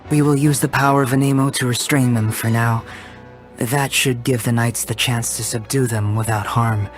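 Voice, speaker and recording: A man voicing a calm, analytical young strategist in a video game, measured and explanatory. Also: medium pitch, faint music behind, natural voice. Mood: composed.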